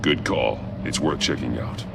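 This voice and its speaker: Grim masked vigilante detective, extremely low gravelly voice, terse, deadpan, video game acting, noisy ambience. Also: male voice, unhurried, exaggerated voice. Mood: grim, analytical.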